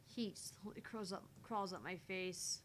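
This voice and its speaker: A woman reading a visual novel's narration aloud as a player, with casual asides. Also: measured pace. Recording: faint background rumble and noise.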